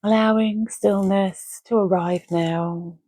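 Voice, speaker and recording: A woman guiding a relaxation meditation in a gentle, measured, soothing way, leaving long pauses between phrases. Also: medium pitch, steady tone, dry recording. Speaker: meditation guide. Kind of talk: guided Yoga Nidra relaxation, soothing and measured.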